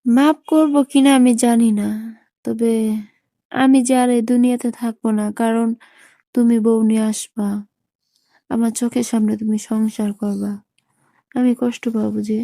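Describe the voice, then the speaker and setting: Heartbroken young Bangladeshi sweetheart played by a woman, reproachful, mellow, very dry close sound, phone-call drama. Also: a little high, flat delivery, normal speed, creaky, long pauses, no echo. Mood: plaintive, despairing. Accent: Bangladeshi Bengali. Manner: reproachful, plaintive.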